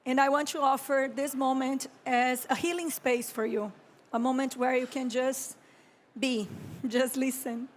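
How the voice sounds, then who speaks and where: A Brazilian woman in her thirties, speaking English with a Brazilian Portuguese accent, gives a slow, reflective conference talk as an architect and urban planner, often clearing her throat. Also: high voice, fairly even intonation, a little hiss or hum. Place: conference stage.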